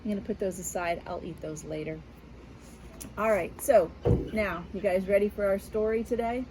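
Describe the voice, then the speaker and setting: A woman's voice: mid-range voice, moderate pace, background noise. A woman who works as a school media specialist, reading a picture book aloud to children and talking to them in a patient, teacherly way from her back porch outdoors. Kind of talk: children's read-aloud lesson.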